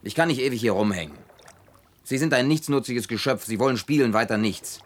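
A man's voice: medium pitch, lively intonation, fairly quick, lightly acted. An actor dubbing a stern, practical young man who talks in a blunt, disapproving way. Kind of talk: German film dub.

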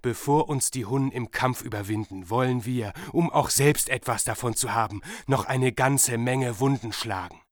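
A man's voice: medium pitch, normal speed, hardly any pauses, no room sound, lightly acted. A man playing a grim, defiant warrior. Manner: grim, threatening. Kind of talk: radio play acting. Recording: dry studio.

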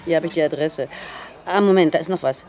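An actress playing a businesslike police investigator in a naturalistic radio crime drama, speaking matter-of-factly. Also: female voice, sits low, fast, a little mellow, lightly acted. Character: businesslike female police investigator, quick to retort.

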